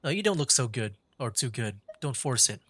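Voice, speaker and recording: A man's voice: mid-range voice, big pitch swings, normal speed, noisy background. A man giving casual live commentary as he streams a video game. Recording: game music behind voice. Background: video game music.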